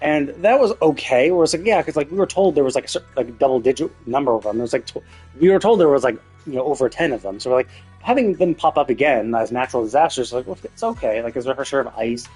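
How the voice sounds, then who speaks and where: A man's voice: medium pitch, animated, quick pace, darkish tone, slightly rough, soft background music. A man live streaming a game, talking casually in a rambling, opinionated way with some swearing.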